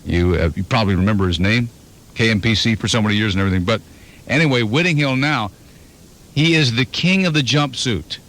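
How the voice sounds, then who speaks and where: Man, morning radio host, playful storyteller, quick banter, radio aircheck. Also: on the low side, fairly bright tone. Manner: storytelling, playful, slips into voices. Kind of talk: fast joking radio banter. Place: radio studio.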